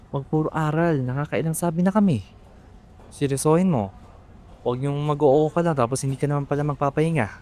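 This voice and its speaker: A man's voice: mid-range voice, lightly acted. A young man with a Filipino accent playing a weary, resentful eldest son who supports his family, earnest and emotional, in an amateur radio drama. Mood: caring. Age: young adult.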